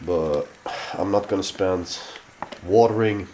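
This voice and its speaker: A Dutch-accented man, speaking English as a second language, walks through his growing routine in a casual vlog. His voice sits very low, and he talks in a frank, explaining way, stretching out the words he wants to stress. A faint background hum runs underneath. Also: slow, mellow. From Netherlands. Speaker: pepper grower and fertilizer maker.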